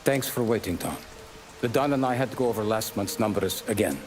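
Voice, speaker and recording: An actor playing an older, measured and paternal mafia consigliere who lectures deliberately in a video game. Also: male voice, lowish voice, expressive, soft background music, close to an everyday voice. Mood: calm, businesslike. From Sicily. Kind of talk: video game cutscene acting.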